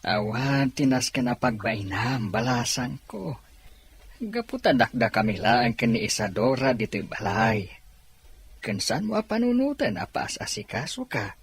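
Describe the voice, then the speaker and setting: A woman voicing a kindly, fatherly older man in an audio drama, gentle and reassuring. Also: extremely low pitch, sing-song, measured pace, breathy edge, heavily acted. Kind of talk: Ilocano audio story acting.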